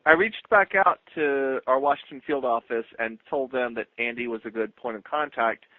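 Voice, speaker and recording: A man's voice: medium pitch, moderate pace, no echo. An American man, a federal agent, chatting casually with colleagues on a work conference call over the telephone. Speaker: FBI agent. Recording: dry, tinny phone conference line.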